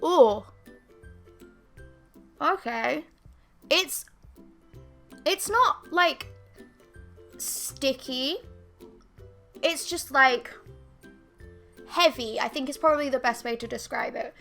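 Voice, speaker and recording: Casual beauty vlogger, twenties, high bright voice with a slight croak, rambling and self-deprecating. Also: female voice, takes it slowly, pauses often, faint music behind. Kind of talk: casual makeup review to camera. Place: bedroom with bookshelves, indoors. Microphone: fixed camera at desk.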